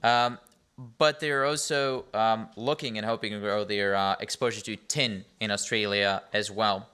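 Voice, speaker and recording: Man, event host, smooth clear voice, casual intro, some fillers. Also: on the low side, steady tone, measured pace, pushed and bright. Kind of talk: introducing a speaker. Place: conference hall.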